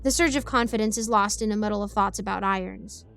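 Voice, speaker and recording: A woman voicing an excitable, defiant ten-year-old girl who tells her own story with rambling, indignant asides. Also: mid-range voice, fast talker, creaky, faint music behind. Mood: rambling, bemused. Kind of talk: first-person scripted story narration.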